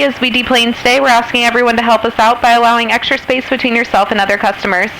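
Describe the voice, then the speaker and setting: A woman's voice: fairly high pitch, bright, forceful tone. A woman flight attendant making partly scripted cabin announcements over an aircraft PA. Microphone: cabin PA handset. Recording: noisy aircraft cabin PA system.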